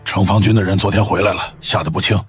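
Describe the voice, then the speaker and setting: A man playing a wary wasteland informant in an animated drama, speaking in a cautioning manner. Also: low-pitched, quick pace, soft background music, lightly acted. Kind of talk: animated web-drama dialogue.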